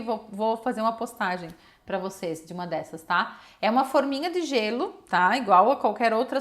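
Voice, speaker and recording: A woman with a southern Brazilian accent talks viewers through practical advice. Her voice sits on the higher side. She is informal and conversational, often tagging her sentences with small checks for understanding. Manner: conversational, frequent checking tags and diminutives. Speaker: children's nutritionist giving advice. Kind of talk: casual explanatory talk to viewers.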